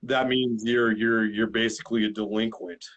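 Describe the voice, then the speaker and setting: A man's voice: lowish voice, steady tone, moderate pace, a bit bright, highs cut off. Frank, joking host in his forties. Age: 40s. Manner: frank and joking, swears casually. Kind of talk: casual chat. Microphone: video call.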